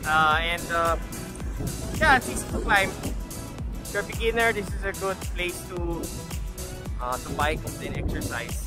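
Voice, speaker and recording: A man's voice: high voice, moderate pace, long pauses. A young man with a Filipino accent chatting casually and upbeat to camera, switching between Tagalog and English. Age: young adult. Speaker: vlogger, cyclist. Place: outdoors on a roadside and open bamboo hut. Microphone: action camera at arm's length. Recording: outdoor action camera, loud background music, chatter.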